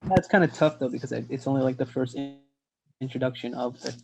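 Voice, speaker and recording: A man's voice: medium pitch, fast, creaky, music in the background. A man chatting casually in a hesitant, agreeable way. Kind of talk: casual group chat. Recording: muffled, noisy call audio.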